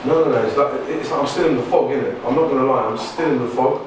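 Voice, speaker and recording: Fast-talking blunt man. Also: mid-range voice. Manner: blunt, swearing, rambling. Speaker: newcomer participant. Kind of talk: casual chat. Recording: echoey hall recording from far off, noisy.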